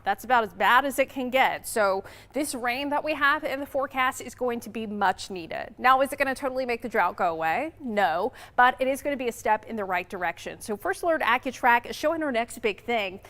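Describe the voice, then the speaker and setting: A woman's voice: a little high, animated, brisk, hardly any pauses, a bit bright. Upbeat chatty TV weatherwoman. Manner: chatty, upbeat, self-joking. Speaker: TV meteorologist. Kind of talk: TV weather forecast with casual banter.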